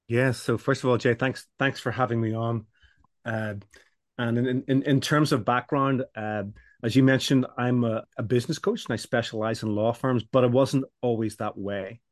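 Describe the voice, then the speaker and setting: A middle-aged man, a business coach, answering interview questions in a measured, explanatory way with frequent restarts. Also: mid-range voice, fairly even intonation, no echo, clean studio sound. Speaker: business coach for law firms. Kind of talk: podcast interview guest.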